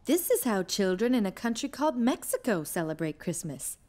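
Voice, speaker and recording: Woman acting a lively, encouraging cartoon mom. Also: mid-range voice, normal speed, lightly acted. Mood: patient, bright. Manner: patient, explanatory, encouraging. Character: gentle, encouraging young mother of small children.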